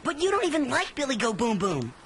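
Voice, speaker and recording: A woman voicing an excitable, nerdy and conscientious young kid in a cartoon, gushing and earnest. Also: high-pitched, fast, soft background music, exaggerated voice. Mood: cautioning. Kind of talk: animated cartoon voice acting.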